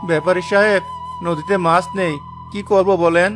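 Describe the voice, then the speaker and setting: A man's voice: high-pitched, steady tone, normal speed, music in the background, natural voice. A man voicing a poor, honest young fisherman in a cartoon, weary and plaintive, with an earnest manner. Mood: pleading, dejected. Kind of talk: dramatised cartoon dialogue.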